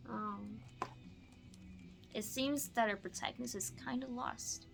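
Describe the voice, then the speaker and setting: A woman teaching through a picture-book read-aloud, moving between expressive storybook reading and casual explanatory asides. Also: on the high side, slow, vocal fry, faint music behind.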